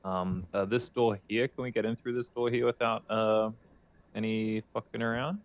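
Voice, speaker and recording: A man's voice: lowish voice, little pitch movement, moderate pace. A man playing a tabletop role-playing game, chatting casually and thinking aloud as he decides his moves. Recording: dull, lo-fi microphone sound.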